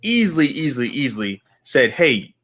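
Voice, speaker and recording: Male podcast co-host, mid-pitched, forceful opinions, hammers points home. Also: very animated, moderate pace. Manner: emphatic, repeats points for stress. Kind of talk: casual chat.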